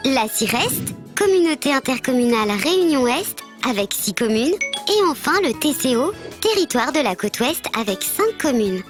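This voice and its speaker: A woman's voice: sits high, sing-song, moderate pace, music in the background. A woman voicing a friendly bird mascot who presents scripted civics explainers in a didactic, structured way. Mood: instructive, friendly. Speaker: presenter of an educational programme. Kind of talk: scripted educational narration.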